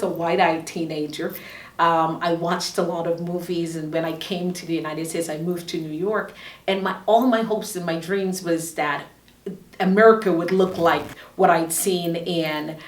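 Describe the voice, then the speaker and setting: A middle-aged woman host with a fairly low voice talks earnestly about herself and urges listeners to follow their dreams. Her voice is heard from a distance in a roomy, echoing space. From Guyana. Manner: earnest, motivational advice-giving. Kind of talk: casual self-introduction. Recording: roomy sound from a distant mic.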